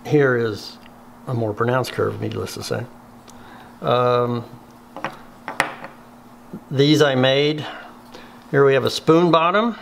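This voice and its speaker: A man's voice: mid-range voice, gravelly, slight background noise. Plainspoken man teaching in a woodshop. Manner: unhurried, plainspoken, many fillers. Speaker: woodworking instructor. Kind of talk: hands-on workshop tutorial.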